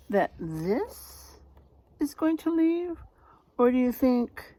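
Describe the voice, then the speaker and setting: A woman reading a picture book aloud like a teacher, expressive and dramatic, pausing to put questions to young listeners. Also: fairly high pitch. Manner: animated, dramatic delivery with drawn-out words.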